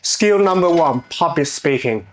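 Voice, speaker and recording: A man's voice: a little high, expressive. Man with a Chinese accent, motivational narration. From China. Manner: earnest, measured delivery with small grammar slips. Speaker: channel host.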